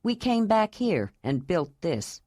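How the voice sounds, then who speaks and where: A woman voicing an earnest, loving young man in an audiobook, lowering her voice to speak calmly. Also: slow, dry recording, studio quality, full character voice. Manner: low, calm and earnest.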